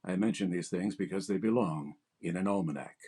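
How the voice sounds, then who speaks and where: An actor playing a formal, smooth-talking male radio showman hosting a program. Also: sits low, brisk, dark tone. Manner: smooth formal announcer delivery. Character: grand, resonant male radio showman host. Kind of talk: old-time radio comedy recreation.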